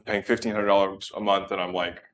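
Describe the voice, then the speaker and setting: A man who has just turned thirty, talking in a relaxed, rambling way while playing golf outdoors. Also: sits low, fairly quick. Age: 30s. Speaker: golf stream guest.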